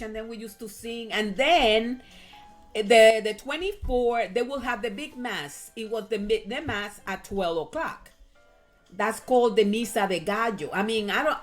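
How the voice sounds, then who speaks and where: Animated Puerto Rican woman telling stories. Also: a little high. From Puerto Rico. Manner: animated storytelling, many fillers. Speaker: guest. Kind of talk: casual chat.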